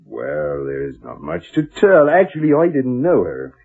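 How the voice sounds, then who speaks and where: On a muffled old radio recording, a chatty small-town estate agent. His voice is husky and rises and falls widely. He gossips in a folksy, unhurried way. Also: mid-range voice, huge pitch swings, full character voice. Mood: gossipy. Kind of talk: old-time radio drama acting.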